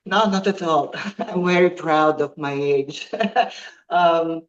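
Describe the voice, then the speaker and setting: Slow, hesitant woman in her fifties. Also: sits low, lo-fi sound. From Croatia. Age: 50s. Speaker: guest athlete. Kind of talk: podcast interview.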